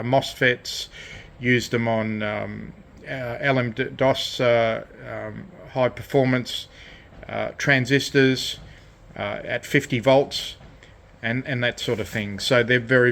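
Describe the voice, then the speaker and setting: A man with a mid-pitched voice presents as a hobbyist at his bench. He speaks very slowly and haltingly, rambling through an unscripted hands-on demonstration. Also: steady tone, vocal fry, fairly bright tone. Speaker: DIY electronics hobbyist presenting his project. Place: indoor radio shack workbench.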